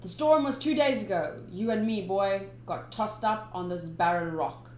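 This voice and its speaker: Woman putting on a gruff old pirate captain. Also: mid-range voice, normal speed, echoey room, a little hiss or hum, close to an everyday voice. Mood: grim. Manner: grim, matter-of-fact threats.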